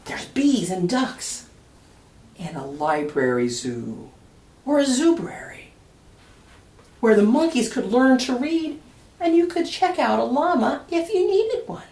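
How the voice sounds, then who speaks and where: A woman reading a children's picture book aloud expressively, with deliberate dramatic pauses and emphasis. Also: high voice, very animated, a little mellow. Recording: echoey room, distant microphone.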